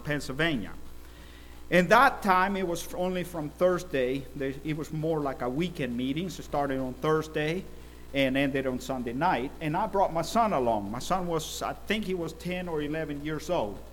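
A middle-aged man from El Salvador preaches a sermon with a voice pitched somewhat high for a man. He tells stories at a steady pace and swells his volume for emphasis. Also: slight background noise, rough recording.

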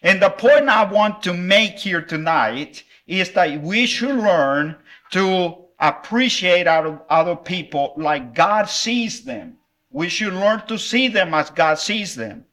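Preacher, middle-aged man from El Salvador, friendly chatty revival sermon, voice a little high and very bright. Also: lively intonation, slow. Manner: friendly, conversational, with small laughs and fillers. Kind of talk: revival sermon with children's object lesson.

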